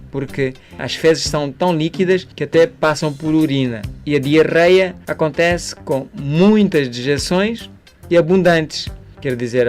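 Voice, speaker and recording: Slow-talking man explaining health matters. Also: mid-range voice. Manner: didactic, pausing, seeks agreement with tag questions. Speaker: doctor, health expert.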